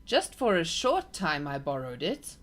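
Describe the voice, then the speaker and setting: A woman voicing a huge kindly old male giant who mangles his grammar, speaking louder than her narration. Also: sits low, sing-song, measured pace. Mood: gentle. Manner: gentle, mangled grammar, loud.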